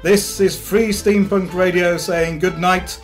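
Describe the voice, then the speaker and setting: A man with a high voice reads closing radio credits as an announcer. Guitar music plays faintly behind him. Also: steady tone, takes it slowly.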